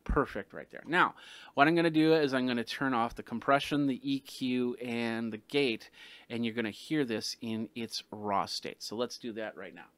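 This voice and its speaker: A man's voice: medium pitch, normal speed, a bit bright. Middle aged guy doing a casual gear review, kinda stop-start explaining, close mic w no room echo. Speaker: tech reviewer, host. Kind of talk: casual product review to camera. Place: small studio room with some sound treatment. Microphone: desktop gooseneck condenser microphone, close.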